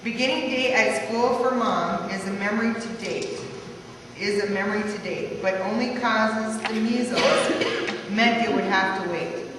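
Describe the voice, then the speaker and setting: A woman's voice: mid-range voice, a little slow. A woman reading a rhyming family life story aloud, now and then stumbling over the words. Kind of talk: rhyming verse read aloud. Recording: echoey hall from far off, faint noise.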